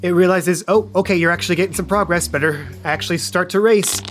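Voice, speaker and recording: A man's voice: sits high, quick pace, fairly bright tone, soft background music. A man narrating an improvised tabletop game as game master, casual and playful in manner.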